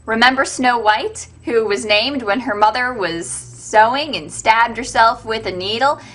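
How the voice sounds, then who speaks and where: A woman's voice: fairly high pitch, unhurried, a bit bright, slight background noise. A woman host giving an irreverent, sarcastic, sweary comedic retelling of fairytales as scripted narration. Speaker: storyteller and web show host.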